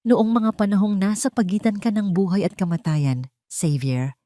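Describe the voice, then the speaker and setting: A woman voicing a calm, sorrowful, manipulative older mother, gentle and measured, in an audio drama. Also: mid-range voice, no echo, clean studio sound, close to an everyday voice. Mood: cold, persuasive.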